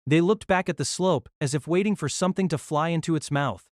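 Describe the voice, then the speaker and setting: A woman with a low voice narrates a novel in steady storytelling, dry and close to the mic. She also reads the male lead's lines. Her delivery is measured and even. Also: no echo.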